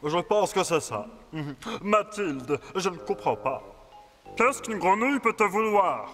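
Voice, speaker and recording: A man's voice: high voice, very animated, takes it slowly, fairly bright tone, full character voice. A man voicing a stern, weary king and father in a children's fairy-tale cartoon, formal and commanding. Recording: long reverb, faint music behind.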